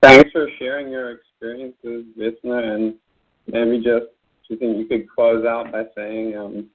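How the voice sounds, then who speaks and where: Man co-host, polite, flat monotone delivery, tinny phone audio. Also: medium pitch. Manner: polite, inviting questions. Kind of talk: talk radio hosting.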